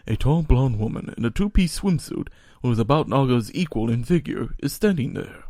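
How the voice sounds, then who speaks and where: A man's voice: medium pitch, animated, moderate pace, warm tone, no echo, clean studio sound. A man narrating a comedic audio drama, reading scripted scene descriptions.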